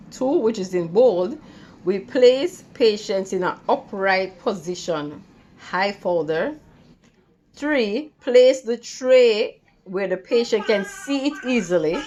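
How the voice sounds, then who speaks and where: A woman tutor giving an instructional read-along lesson, reading out material deliberately, step by step, in short phrases. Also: medium pitch. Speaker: nursing assistant tutor.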